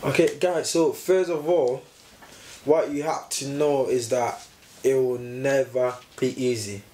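A man's voice: medium pitch, steady tone, measured pace, darkish tone, a little hiss or hum. A young man on a sofa indoors, co-hosting a casual motivational chat and emphatically repeating his key lines. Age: young adult. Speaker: co-host, fitness vlogger. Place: indoor room, seated on sofa. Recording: roomy echo.

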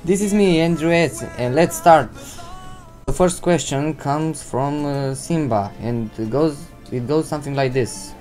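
A teenage boy from Romania talks into a desk mic in a home room, answering viewer questions in halting, non-native English. He fills his pauses with steady "uh"s as he finds his words. Soft music plays faintly underneath. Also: fairly high pitch. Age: teens. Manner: hesitant, many uh fillers, non-native English phrasing. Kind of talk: casual Q&A vlog. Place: indoors at home, seated in gaming chair. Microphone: desk microphone.

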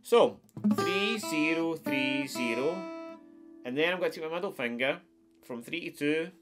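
A man in his forties, a little stuffed up, talks through a guitar lesson in an easy, friendly way, counting frets and strings as he goes. Loud acoustic guitar rings behind his voice. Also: a little high, animated, measured pace. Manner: friendly, explains step by step with counts. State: stuffed-up nose. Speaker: guitar teacher. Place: small wood-paneled room at home.

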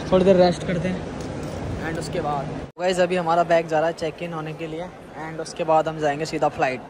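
A young man from India vlogging his trip through an airport onto a plane, chatty and excited, talking to his viewers as guys and mixing in English words. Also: high-pitched, very noisy. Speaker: travel vlogger. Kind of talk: excited handheld travel vlog. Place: airport terminal and plane cabin, indoors.

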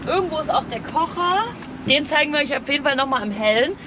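High-voiced woman vlogging casually over background noise. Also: animated, fast. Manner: chatty, informal, explaining as she goes. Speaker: camping vlogger. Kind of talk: casual vlog narration. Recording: clearly noisy, outdoor and vehicle ambience.

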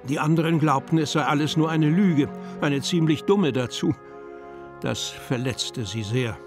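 A man's voice: a little high, measured pace. A man narrating a German children's radio play in a scripted storybook style. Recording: music behind the voice.

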